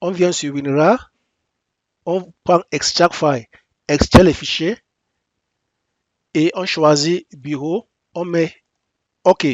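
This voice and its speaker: A man with a fairly high voice, recorded dry with no room sound, guides listeners through an installation. French is not his first language, so he speaks haltingly, restarts his phrases and repeats each instruction step by step. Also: steady tone, no echo, muffled recording. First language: English. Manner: halting non-native French with frequent restarts. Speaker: software tutorial presenter. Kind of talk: screen-recorded software tutorial.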